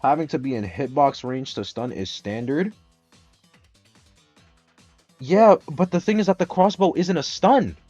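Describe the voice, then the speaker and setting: A man's voice: a little high, unhurried, long pauses, breathy edge, no room sound. A man hosting a gaming stream, arguing tier picks in a casual, profane, heated way. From Dominican Republic. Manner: animated, profane, argumentative ranting. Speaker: streamer host. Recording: slightly dull stream audio with music.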